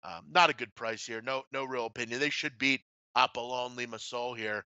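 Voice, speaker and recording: A man with a bright, forceful voice hosts casual sports betting talk. He is blunt and happy to swear, often breaking off into asides. The sound is very dry and slightly dull. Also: medium pitch, normal speed, smooth, no room sound. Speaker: sports betting show host.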